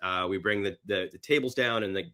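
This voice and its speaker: A man's voice: lowish voice, brisk, sharp tone. A man talking casually as a guest in a podcast interview, with a joking, self-deprecating manner. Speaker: actor and screenwriter.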